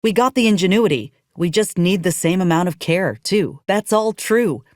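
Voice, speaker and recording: Woman acting as a blunt, scrappy frontier soldier woman, lowish voice. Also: expressive, normal speed, a little mellow, no echo, clean studio sound, lightly acted. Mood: earnest, persuasive. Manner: blunt, folksy frontier speech. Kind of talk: video game character dialogue.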